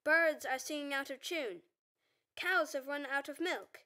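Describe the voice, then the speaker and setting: A woman's voice: high-pitched, measured pace, sharp tone. A woman playing an earnest young messenger reciting a warning to a town in a radio play.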